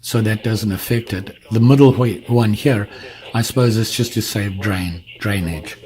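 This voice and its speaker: A man's voice: fairly low pitch, unhurried, a little mellow, rough recording. A man giving a casual hands-on product demonstration, informally explaining step by step as he handles the device. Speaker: product reviewer.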